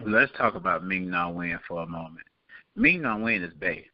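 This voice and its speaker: A man's voice: deep voice, moderate pace, no echo. A man co-hosting a podcast with casual, playful, emphatic banter. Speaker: podcast co-host. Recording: slightly dull, dry radio-like sound.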